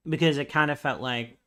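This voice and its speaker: A young man with a hoarse, worn voice, chatting loosely to his live audience. He rambles between thoughts, laughs a lot and drops swear words without a second thought. His pitch sits squarely in the middle for a man. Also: fairly bright tone. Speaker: live streamer. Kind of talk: casual live-stream chat with viewers.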